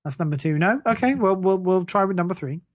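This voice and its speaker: A podcast co-host with a medium-pitched voice in casual conversation. The delivery is dry and teasing, with a mock-earnest way of making points. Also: animated, quick pace. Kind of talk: casual chat.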